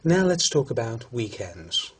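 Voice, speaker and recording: A man reading out scripted questions as a language test examiner, formal and measured. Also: medium pitch, sing-song, a bit bright, a little hiss or hum.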